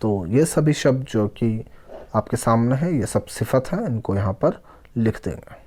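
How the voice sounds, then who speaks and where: A man teaching a children's language lesson, reading aloud and explaining, deliberately pausing and repeating words and phrases. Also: mid-range voice, lively intonation, darkish tone, slightly rough.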